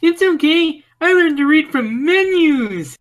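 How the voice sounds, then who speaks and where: A man voicing a nervous, childish fat glutton with a loud, whiny, anxious manner in a comic story. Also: very high-pitched, animated, a little slow, voice pushed up. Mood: hesitant.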